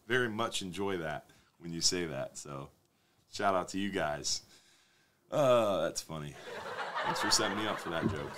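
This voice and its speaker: A man in his thirties hosting a live fishing Q&A stream from a studio desk, reading viewer questions and answering them in a relaxed, conversational way. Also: low-pitched, slight background noise. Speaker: fishing show host. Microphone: desk microphone on stand.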